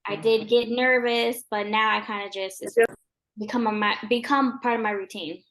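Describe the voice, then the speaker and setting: Soft-spoken woman agent chatting as a guest, lots of ums and likes, a bit high. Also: moderate pace, low-quality recording. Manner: relaxed and rambling, many ums and likes. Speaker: real estate agent, guest. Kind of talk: casual interview.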